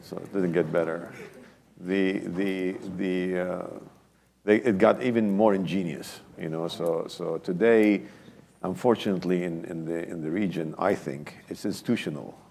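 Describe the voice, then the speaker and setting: A man's voice: deep voice, moderate pace, gravelly, a little mellow, a little hiss or hum. A man speaking as a panelist in a conference discussion, emphatic and talking in bursts with many restarts.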